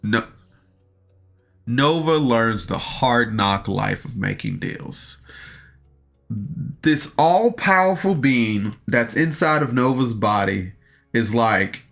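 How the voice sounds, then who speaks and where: Bright, forceful man giving a casual recap. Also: medium pitch, lively intonation, slow, gravelly, long pauses, soft background music. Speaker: podcast review host. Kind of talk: casual recap and review of an audio drama.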